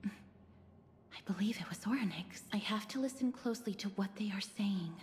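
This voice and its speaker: An actress voicing a gentle, solemn young woman in a video game, speaking in a measured, breathy whisper. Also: mid-range voice, natural voice. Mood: solemn, hushed. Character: soft-spoken young woman who translates a Titan's words. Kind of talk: scripted video game acting. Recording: long reverb, clear background noise.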